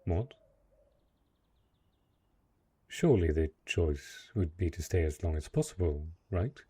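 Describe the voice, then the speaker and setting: Man playing a deep, husky, brooding dark knight. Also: expressive, moderate pace, heavily acted. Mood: pleading, anxious. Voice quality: husky, low voice. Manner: hesitant, earnest, formal phrasing. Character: lonely, possessive dark knight, lord of a forest castle. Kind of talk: dark fantasy romance audio roleplay.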